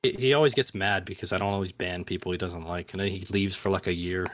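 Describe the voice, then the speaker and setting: A man's voice: low voice, steady tone, fast, a bit bright. A man chatting casually as a podcast guest, hesitant and self-deprecating. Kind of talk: casual interview chat.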